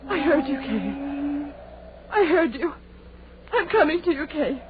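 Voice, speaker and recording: A woman's voice: high voice, animated, slow pace. An actress playing a grief-mad young woman lost in a storm, with theatrical, wailing, repetitive delivery in old-time radio horror style. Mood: frantic, tearful. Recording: tinny, noisy vintage radio recording.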